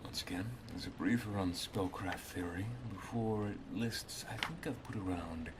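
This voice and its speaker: A man playing an affectionate, teasing wizard master in an ASMR roleplay, speaking softly, intimately and in a measured way. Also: low-pitched, noisy background. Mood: instructive, affectionate.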